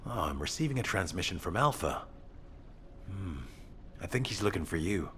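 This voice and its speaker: A man's voice: low voice, animated, moderate pace, fairly bright tone, a little hiss or hum. An actor playing an earnest, troubled executive officer in a video game, serious and reflective as he thinks aloud. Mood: troubled, pensive.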